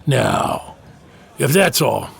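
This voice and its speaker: An actor playing a wry, dismissive insider in an audio drama, with a gravelly, sardonic drawl. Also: male voice, medium pitch, lively intonation, very slow, heavily acted. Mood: firm, sardonic. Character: wry, dismissive insider man who won't be pushed.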